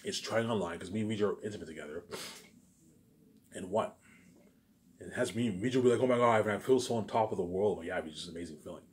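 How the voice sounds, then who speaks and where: A man's voice: sits low, fast, long pauses, a little hiss or hum. A man in a casual, rambling monologue, boastful and repetitive, with emphatic bursts that trail off into muttered tags. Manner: repetitive, keeps restating phrases and tagging sentences with catchphrases; boastful, self-aggrandizing.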